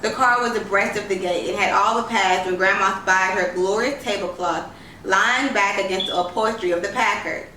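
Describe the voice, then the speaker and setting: A woman reading a literary story aloud as an expressive storyteller. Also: mid-range voice, normal speed. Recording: roomy, distant, faint background noise.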